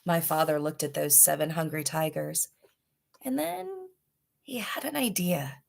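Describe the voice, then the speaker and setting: A woman with a slightly low voice reads to children as a storybook host. Her phrasing is deliberate and dramatic, and she keeps an informal, read-aloud style. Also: expressive, creaky.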